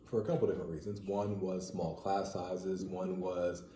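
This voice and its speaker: A man with a very low, mellow voice speaks in an even, measured way as he explains his views to an interviewer. His voice carries some room echo, with a faint hiss of noise underneath. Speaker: interview guest, parent. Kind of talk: conversational interview answers.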